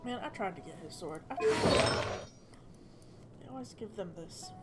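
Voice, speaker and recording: A woman's voice: mid-range voice, expressive, unhurried. A woman chatting casually as she plays a game, musing aloud in a playful way. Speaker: game streamer. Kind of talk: casual gameplay chat. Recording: music playing behind the voice. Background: game music.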